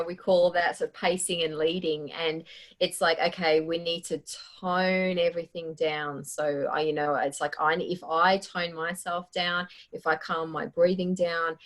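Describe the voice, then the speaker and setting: A woman, a performance coach hosting an interview and telling stories in a conversational way. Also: lowish voice.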